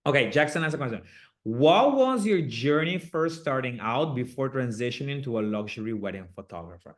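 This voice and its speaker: A man's voice: medium pitch, very animated, brisk. A man from Aruba with a slight Aruban accent and Papiamento as his first language, hosting a live online coaching session over a video call in an upbeat, chatty way. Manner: upbeat, chatty, laughs often.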